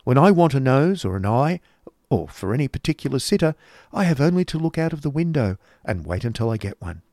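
A fussy, talkative middle-aged woman painter, as a man puts her on, her voice lifted high and fluttering as she chatters away. She gushes and exclaims over every little thing. The sound is close and dry. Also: very animated, a little fast, darkish tone, no room sound. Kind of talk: audiobook character voice.

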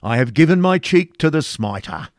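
A man's voice: on the high side, sing-song, moderate pace, no room sound, studio quality, voice pushed up. A man voicing a burly, belligerent medieval friar in a storybook reading, bombastic and archaic in his speech. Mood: bold defiance.

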